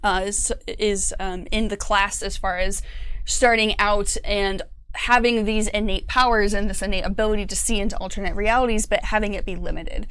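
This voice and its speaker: A woman in her thirties speaks on a small studio panel, explaining design ideas at length. Her voice sits at a middle pitch. She fills her sentences with ums and uhs, and a lapel mic picks her up. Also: fairly even intonation, moderate pace, a bit bright, clear, resonant tone, no room sound, low-quality recording. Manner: explanatory, with many fillers. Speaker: game designer. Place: small studio with curtain backdrop.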